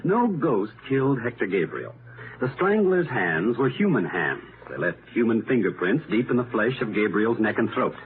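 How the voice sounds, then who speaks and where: A thin, noisy vintage radio recording carries a brilliant American amateur detective. He reasons aloud step by step with emphatic confidence, his pitch rising and falling freely as he builds his case. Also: sing-song. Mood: assured, explanatory. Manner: deliberate, methodical, emphatic. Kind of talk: 1930s radio mystery acting. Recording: noisy, narrow-band 1930s radio recording.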